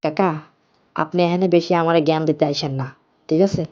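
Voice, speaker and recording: A man's voice: fairly high pitch, fairly even intonation, a little fast, warm tone, lightly acted. A man playing a harried, complaining village shopkeeper in a cartoon, with a Bangladeshi accent and a curt manner. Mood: irritated. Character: harried, irritable male village shopkeeper, the nephew. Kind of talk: amateur cartoon dialogue. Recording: muffled, dull lo-fi audio.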